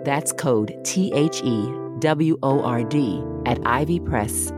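A woman's voice: very deep voice, slow. A woman reading a scripted podcast advertisement as an announcer. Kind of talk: scripted ad read. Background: guitar music.

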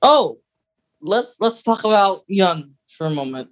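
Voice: medium pitch, expressive, unhurried. A podcast co-host chatting casually and informally, recapping in a conversational way. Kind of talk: casual chat.

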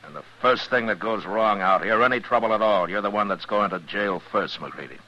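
Deep-voiced, stern western marshal. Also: male voice, fairly quick, pushed and bright, dull audio. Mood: stern, commanding. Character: stern, authoritative frontier marshal, laconic lawman narrating his case. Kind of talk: 1950s radio western acting and narration. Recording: vintage radio recording, band-limited.

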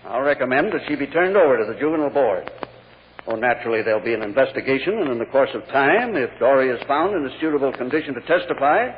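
An authoritative male judge played by an actor speaks quickly and courteously, with reluctant firmness. The old radio drama recording is muffled and reverberant. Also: medium pitch, animated, slight background noise, close to an everyday voice. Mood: firm regret. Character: formal, measured male judge, reluctant but firm.

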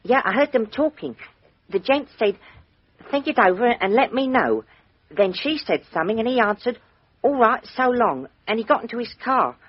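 A woman's voice: on the high side, lively intonation, moderate pace, slightly rough. A woman voicing a cheeky, blunt errand boy in a dull-sounding old radio drama recording. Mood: eager. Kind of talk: 1950s radio drama acting.

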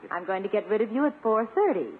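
Prim teasing schoolmarm principal, old radio sitcom, husky, tinny narrow sound. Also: female voice, mid-range voice, animated, fast talker. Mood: brisk, huffy. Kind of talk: 1950s radio situation comedy acting. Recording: narrow, muffled 1950s radio broadcast.

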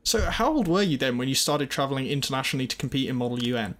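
A man's voice: medium pitch, animated, racing, a bit bright, slight background noise. A man interviewing a guest, asking long, winding questions and apologizing politely.

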